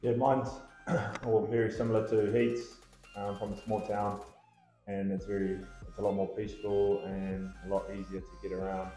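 A rugby player from New Zealand talking calmly and evenly on a panel. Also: male voice, on the low side, normal speed, roomy sound, faint music behind, poor audio. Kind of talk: casual panel chat. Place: indoor room with a branded backdrop.

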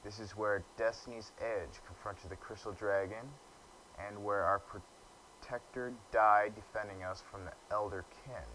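A young man with a low, deep voice giving casual let's play commentary, grumbling and stumbling over quest text he reads aloud. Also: fairly even intonation, measured pace, pushed and bright, a little hiss or hum, low-quality recording. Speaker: gamer.

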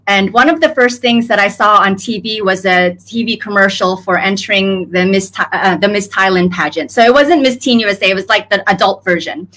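A woman with an American accent talks over a video call from her room at home. Her voice is bright and clear, and she tells her story in a chatty, expressive way with frequent laughter. She sounds to be in her thirties. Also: mid-range voice. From United States. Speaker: guest.

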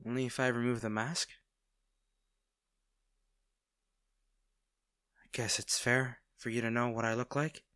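A man's voice: medium pitch, level tone, brisk, clear, resonant tone, dry recording, clean studio sound, close to an everyday voice. A man playing a tender, nervous villain in an intimate audio roleplay, stammering and hesitant as he speaks to the listener. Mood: gently teasing. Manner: hesitant, stammering, soft-hearted. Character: smitten masked male villain, nervous, tender, teasing.

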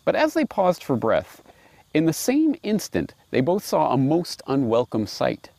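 A middle-aged man presenting and reading aloud in a musing, wry, expressive way, recorded outdoors on a lapel mic. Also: on the high side, a little slow, vocal fry, dry recording. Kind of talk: reflective commentary and storybook reading. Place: outdoors in a grassy park.

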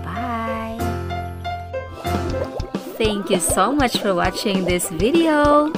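A woman with a fairly high, lively voice reads to young children as their teacher. She goes very slowly and deliberately, in a sing-song way. Music plays clearly behind her. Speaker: teacher reading a storybook aloud for children. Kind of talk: slow, animated read-aloud for young children.

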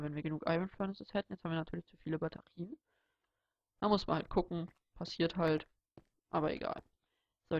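A young man with a cold walks through a game build in a loose, thinking-aloud way. His voice is gravelly and slightly stuffy. It comes through a dull, lo-fi home microphone. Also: high voice. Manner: relaxed, rambling, thinking aloud. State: has a cold. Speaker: Let's Play host. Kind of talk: casual gameplay tutorial commentary.

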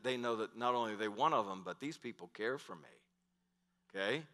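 A middle-aged man preaching a sermon on a large church stage, switching between loud emphatic lines and hushed ones. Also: medium pitch. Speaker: pastor. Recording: long hall reverb.

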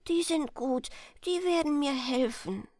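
A tiny lost girl from a storybook, played by a woman narrator. The voice is raised high and childlike, with a trusting, pleading manner. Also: measured pace, a little mellow, no room sound, studio quality. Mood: hopeful.

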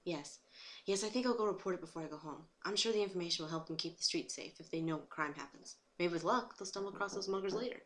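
A woman's voice: fairly low pitch, fast talker, fairly bright tone, natural voice. A woman voicing an earnest, dutiful pony who reasons aloud. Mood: earnest, puzzled. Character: earnest, dutiful law-abiding cartoon pony citizen. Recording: noisy home recording.

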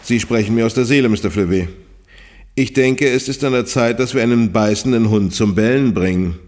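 Man as a calm, commanding professor. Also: mid-range voice, natural voice. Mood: composed, resolute. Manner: measured, authoritative, formal. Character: calm, commanding parapsychologist professor, man, wielding a magic amulet. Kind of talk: radio horror drama acting.

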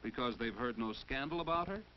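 A man's voice: medium pitch, expressive, a little fast, crowd noise. A man narrating a 1940s educational film in a didactic way, posing questions and giving advice. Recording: muffled, noisy 1940s film soundtrack.

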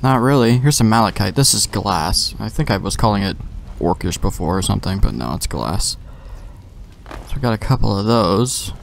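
A man's voice: lowish voice, lively intonation, moderate pace, creaky, slight background noise. A young man giving offhand, slangy commentary as he plays a video game, talking to himself. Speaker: gamer, let's play host.

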